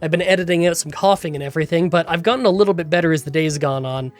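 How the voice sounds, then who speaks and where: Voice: medium pitch, racing. Nasal gaming YouTuber with a cold, stuffy and chatty, faint music under the voiceover. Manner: chatty, rambling storytelling. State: congested from a cold. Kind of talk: game challenge voiceover recap. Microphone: close voiceover mic.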